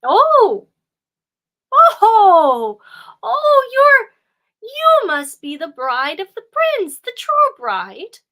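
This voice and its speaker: A woman voicing an odd, excitable old woman who flutters and drops into hushed whispers. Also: high-pitched, slow, pitched above the performer's own voice, full character voice. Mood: excited.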